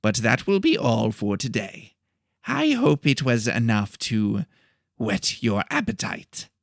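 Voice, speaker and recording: Man voicing a slow, lively, creaky professor. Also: medium pitch, no room sound, clean studio sound, full character voice. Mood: formal, instructive. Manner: deliberate, explanatory. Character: professor lecturing a class, pleased and formal. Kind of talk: audiobook character dialogue.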